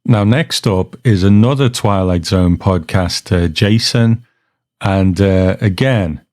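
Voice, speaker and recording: A man with a deep, smooth voice hosting a podcast, casually introducing feedback with gentle, hesitant phrasing. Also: no echo, clean studio sound. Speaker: podcast host.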